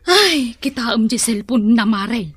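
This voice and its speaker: An actress playing a wife's nosy confidante in a comedy radio drama. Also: female voice, on the high side, animated, unhurried, a bit bright, husky, dry recording, exaggerated voice. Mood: nosy, advising.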